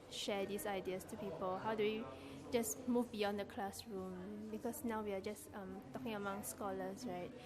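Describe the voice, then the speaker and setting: A young woman student from Singapore answering interview questions thoughtfully, pausing often on "um". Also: mid-range voice. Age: young adult. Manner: thoughtful, many "um" pauses. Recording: crowd chatter behind.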